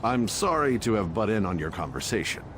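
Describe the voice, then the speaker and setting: An actor voicing a courteous, self-deprecating old master painter with a gravelly voice, placating and mild, in a video game dub. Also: male voice, lowish voice, very animated, fairly quick, a bit bright, a little hiss or hum. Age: older. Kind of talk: dramatic English video game dub acting. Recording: music behind the voice.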